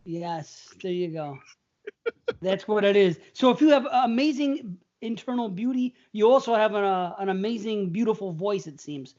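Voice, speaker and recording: A man's voice: sits high, normal speed, darkish tone, highs cut off. A man co-hosting a casual horror podcast over a remote call, rambling and stammering as he talks. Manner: rambling, stammering, laughs often. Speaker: podcast co-host. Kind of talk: casual banter.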